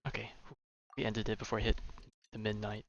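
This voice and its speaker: A man's voice: lowish voice, completely flat delivery, a little fast, a bit bright, slight background noise. A man livestreaming a game, giving casual, laid-back commentary and musing to himself. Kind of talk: casual play-along commentary. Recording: slightly dull sound, faint game music.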